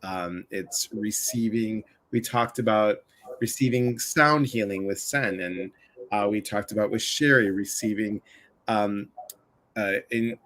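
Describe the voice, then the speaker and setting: A man's voice: lowish voice, a little slow, fairly bright tone. A man from the Chicago area hosting a casual livestream conversation, chatty and full of asides. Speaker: livestream host.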